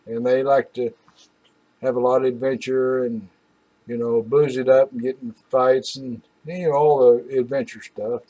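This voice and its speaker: A man with a husky, mid-pitched voice hosts a show in a casual way. His delivery is folksy and rambling, with a hesitant flow. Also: dark tone, poor audio. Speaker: radio show host.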